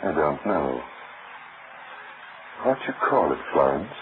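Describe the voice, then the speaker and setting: An actor playing a pompous, coldly suspicious husband in an old-time radio melodrama, speaking formally and theatrically. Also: male voice, rumbling low, sing-song, takes it slowly, heavily acted. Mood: quietly menacing. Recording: noisy, tinny old radio recording.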